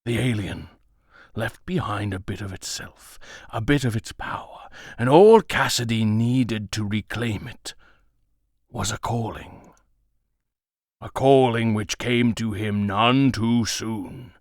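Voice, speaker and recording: Man as a gravelly, solemn alien elder storyteller. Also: on the low side, dry recording, studio quality. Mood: grave, foreboding. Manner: slow, grave, pausing, hushed at phrase ends. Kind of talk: dramatic oral storytelling narration.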